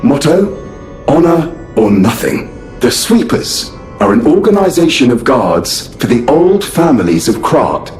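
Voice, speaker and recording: A courteous puppet butler speaks with formal precision and a very lively lilt. The game voice rings in an echoey room over faint music. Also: male voice, on the high side, measured pace. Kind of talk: game character exposition.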